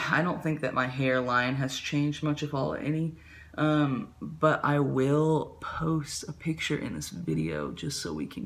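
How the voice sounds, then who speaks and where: A man's voice: medium pitch, fairly bright tone. A young man talking casually to camera in a small bedroom, rambling with lots of fillers, his voice prone to cracking. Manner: rambling with many fillers and likes. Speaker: vlogger. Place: small bedroom with ceiling fan.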